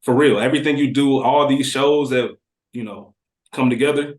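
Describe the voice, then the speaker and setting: A man's voice: medium pitch, normal speed, a little mellow. A man chatting casually as a podcast guest, joking and slangy, recorded in a roomy space. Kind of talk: casual chat. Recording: roomy, echoey.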